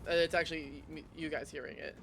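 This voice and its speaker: High-voiced man streaming a game. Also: little pitch movement, quick pace, noisy background. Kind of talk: casual live gameplay commentary. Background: game music.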